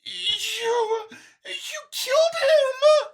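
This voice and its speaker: A man voicing a small female alien scientist in a storybook reading, shrill, distraught and accusing. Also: very slow, creaky, exaggerated voice. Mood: shocked, anguished.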